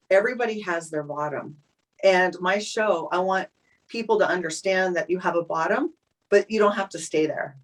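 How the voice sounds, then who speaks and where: A woman's voice: fairly low pitch, recorded from a distance, rough recording. A woman hosting an interview show, enthusiastic and motivational in tone. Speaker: talk show host.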